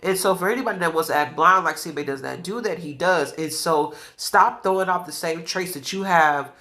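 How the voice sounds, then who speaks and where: A man's voice: fairly high pitch, a little fast, sharp tone. A man with a stuffy nose giving a blunt, scolding commentary while eating on a food show. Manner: blunt, scolding, repeats points. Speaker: food vlogger.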